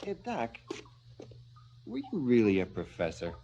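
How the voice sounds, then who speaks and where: An actor playing a greedy, domineering crime boss in a 1970s radio drama, blunt and insinuating. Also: male voice, medium pitch, huge pitch swings, unhurried, highs cut off, noisy background. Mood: sly, insinuating. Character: bullying, greedy, corrupt male crime boss.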